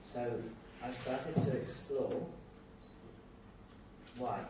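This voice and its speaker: A man's voice: medium pitch, fairly even intonation. Older Australian man, very echoey hall. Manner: conversational, halting short phrases, self-deprecating. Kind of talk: informal public talk. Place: indoor lecture hall. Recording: very echoey hall, distant mic, noisy.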